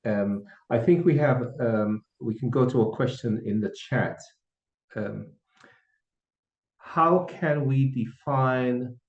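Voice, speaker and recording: A man's voice: mid-range voice, darkish tone. A man moderating a webinar on a video call, politely reading out and repeating questions from the chat. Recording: roomy, dull video call audio.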